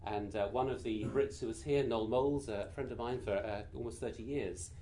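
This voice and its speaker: A man with a British accent and a naturally quiet voice, giving an informal talk to a group in a gentle, measured way with frequent small hesitations. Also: medium pitch, a little hiss or hum. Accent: British English. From Britain. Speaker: guest speaker.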